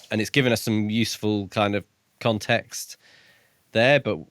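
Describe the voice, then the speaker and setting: A man's voice: fairly low pitch, unhurried, a bit bright, dry recording, studio quality. A man co-hosting a podcast, recapping and casually discussing a book. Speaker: podcast co-host. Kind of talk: casual chat.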